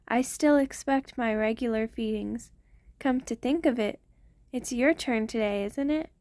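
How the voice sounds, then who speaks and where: Woman voicing centuries-old female vampire, fairly high pitch, gentle protective lover, formal phrasing, intimate ASMR roleplay, very dry sound. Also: no echo. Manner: tender, soft-spoken, formal wording. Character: ancient female vampire queen, tender, protective, devoted lover.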